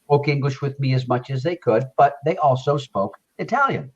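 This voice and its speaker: A man's voice: mid-range voice, lively intonation, normal speed. A man tutoring English in casual conversation, encouraging and repeating phrases for emphasis over a video call. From Canada. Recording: dull lo-fi video call audio.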